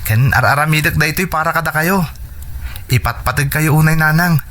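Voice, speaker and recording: A man's voice: a little high, fairly quick, bright, forceful tone. A man playing an earnest, determined young son in a radio drama, speaking respectfully with an Ilocano Filipino accent. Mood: happy, determined.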